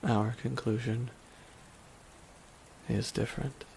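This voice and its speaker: Man acting a gravelly, wistful supervillain in rain. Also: medium pitch, fairly even intonation, takes it slowly, darkish tone, slight background noise, rough recording. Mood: wistful, resigned. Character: reflective male supervillain, wry, quietly softened toward his nemesis. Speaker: voice actor in audio roleplay. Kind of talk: ASMR dramatic roleplay monologue.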